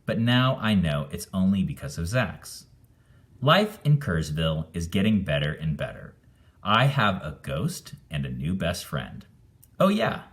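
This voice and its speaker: A man reading a children's story aloud in an expressive, animated way, acting out the narration. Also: low voice. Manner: animated, acts out the dialogue. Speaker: storybook reader.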